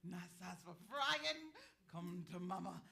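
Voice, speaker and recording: Woman acting a sly, animated, bright-voiced river mama. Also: on the low side, slow, gravelly, exaggerated voice. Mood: playful, coaxing. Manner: folksy, teasing, chuckling drawl of a wise elder. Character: sly, earthy, ageless river woman called Ma, fishing for dinner. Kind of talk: theatrical staged play reading.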